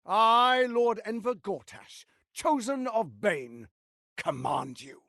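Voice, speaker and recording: A man playing a smooth-tongued, condescending tyrant lord in a video game, with a pompous, theatrical delivery and deliberate emphasis. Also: sits high, sing-song, a bit bright, no room sound, clean studio sound, heavily acted. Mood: commanding, grandiose.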